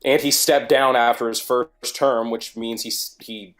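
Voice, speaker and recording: A man's voice: medium pitch, steady tone, a little slow, a bit bright. A man chatting casually and bluntly about his opinions as a guest on an online group call, sometimes swearing. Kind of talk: casual chat, political opinions.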